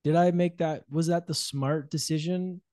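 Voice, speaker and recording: A man's voice: fairly high pitch, steady tone, normal speed, dark tone, no echo, clean studio sound. A man speaking as a guest on a podcast, easygoing and reflective, with lots of fillers. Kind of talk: casual podcast conversation.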